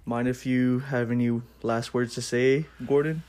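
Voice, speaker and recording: A man's voice: mid-range voice, steady tone, measured pace, darkish tone. Young male podcast host with faint background noise. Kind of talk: casual amateur podcast.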